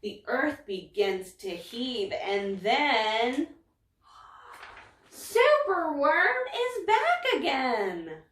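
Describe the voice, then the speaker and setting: Slow, dramatic woman storyteller in echoey room. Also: high voice, big pitch swings, fairly bright tone, far from the mic. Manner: dramatic, emphatic. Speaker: story reader. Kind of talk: expressive read-aloud of a rhyming picture book. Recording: echoey room, away from mic.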